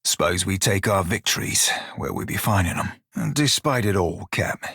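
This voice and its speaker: A gruff pirate shiphunter from a fantasy video game, with a rough, husky voice on the low side. He talks in archaic sailor dialect with a wry swagger and a loyal streak. The sound is close and dry. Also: lively intonation, moderate pace, a bit bright, no room sound, exaggerated voice. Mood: reflective. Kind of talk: video game character voice lines.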